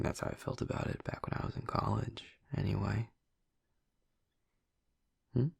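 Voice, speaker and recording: Hushed, gravelly young male vampire played by a man. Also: fairly low pitch, no echo, studio quality, full character voice. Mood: hesitant, reflective. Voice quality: breathy, husky, gravelly murmur. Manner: hesitant, rambling, casual. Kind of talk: intimate audio roleplay addressed to the listener.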